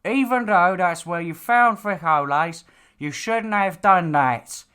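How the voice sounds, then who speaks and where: A man's voice: sits high, slow, sharp tone, smooth, pitched above the performer's own voice, full character voice. A man voicing a stern, exasperated, lecturing father in a fantasy audiobook. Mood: annoyed, lecturing. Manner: lecturing, bossy. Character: stern, nagging, exasperated father of a demon slayer. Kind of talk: audiobook character dialogue.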